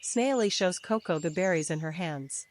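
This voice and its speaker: A woman narrating a scripted children's bedtime story in simple, deliberate sentences. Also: fairly low pitch, expressive. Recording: faint birdsong and nature ambience. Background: birdsong, crickets, forest ambience.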